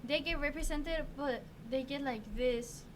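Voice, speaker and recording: A woman's voice: high voice, unhurried. A teenage woman with a Mexican accent whose first language is Spanish, a podcast guest speaking earnestly in casual discussion and often hunting for words. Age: teens. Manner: earnest, searching for words with many fillers. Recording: noisy background.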